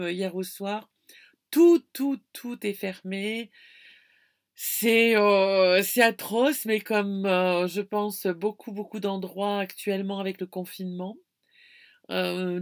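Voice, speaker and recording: A middle-aged woman chatting casually to her viewers from inside a camper van, hesitant and full of fillers, filmed close up. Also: mid-range voice. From France. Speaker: vlogger. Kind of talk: casual travel vlog. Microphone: phone or camera close to face.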